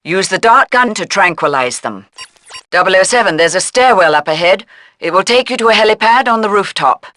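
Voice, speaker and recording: An actress playing a businesslike spy mission handler, giving brisk, instructive briefings in a video game. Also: female voice, medium pitch, lively intonation, pushed and bright, no room sound.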